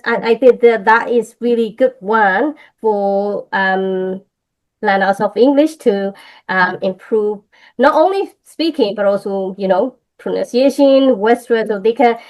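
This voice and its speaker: A woman speaking English with a Burmese accent, her voice a little high and her pace unhurried. She talks in a relaxed interview, pausing often with "um" and restarting her sentences as she gathers her thoughts. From Myanmar. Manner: hesitant, many fillers and restarts. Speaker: English teacher. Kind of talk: casual interview conversation.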